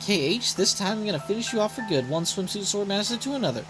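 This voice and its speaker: A woman voicing a haughty, sarcastic young female villain in an anime game, cool and sardonic but quick to indignation. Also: mid-range voice, animated, lightly acted, poor audio. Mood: bitter, challenging. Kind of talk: voiced reading of anime game dialogue. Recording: loud game music behind voice.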